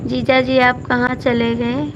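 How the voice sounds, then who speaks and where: A hoarse woman hosts a casual live stream, reading out viewer comments and coaxing people by name to comment. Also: high voice, little pitch movement, takes it slowly, dull audio, background noise. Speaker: folk singer hosting a live stream.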